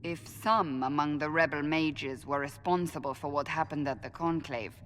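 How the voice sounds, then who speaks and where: A woman's voice: deep voice, steady tone, moderate pace, in a large hall, slight background noise, lightly acted. A woman playing a stern, suspicious warrior in a video game, speaking bluntly and formally. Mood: firm, wary.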